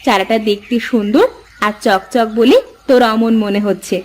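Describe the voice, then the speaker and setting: A woman's voice: a little high, normal speed, slight background noise, natural voice. A woman playing a patient, faithful village mother in a cartoon fairy tale, with a homely, reassuring manner. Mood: concerned.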